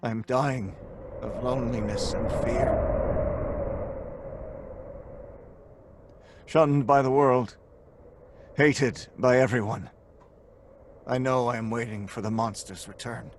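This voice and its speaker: An actor playing a tormented, guilt-ridden scientist, earnest and dramatic, in old time radio horror. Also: male voice, mid-range voice, fairly even intonation, a little slow, long pauses, background noise, natural voice. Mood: desolate. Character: tormented, guilt-ridden scientist telling his tragic story.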